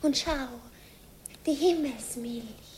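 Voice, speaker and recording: A woman's voice: high-pitched, takes it slowly, a little mellow. An actress playing a tender, impulsive young village girl in a radio play. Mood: tender, intimate. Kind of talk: old radio play acting. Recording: slightly noisy old radio recording.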